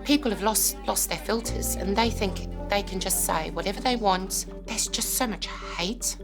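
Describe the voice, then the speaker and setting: A woman's voice: medium pitch, moderate pace, music in the background. A woman with a South African accent, a police call handler, speaking earnestly and reflectively in a documentary interview.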